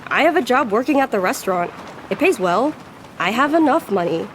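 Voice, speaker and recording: Woman voicing a young boy, very high voice, earnest, stubborn, plain-spoken, audio adventure, faint background noise. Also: expressive, brisk, close to an everyday voice. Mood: unimpressed. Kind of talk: scripted audio adventure acting.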